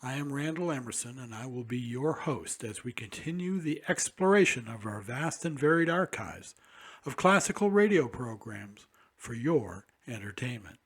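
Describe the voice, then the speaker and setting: A man's voice: medium pitch, expressive, normal speed, husky. A man hosting a classic-radio program, reading a formal scripted introduction. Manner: formal, announcer-like. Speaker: host.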